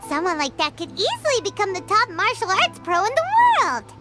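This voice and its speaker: A woman voicing a tiny, excitable female fairy companion in a video game, talking bluntly in a high, squeaky voice. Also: a little fast. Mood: chatty. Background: game music.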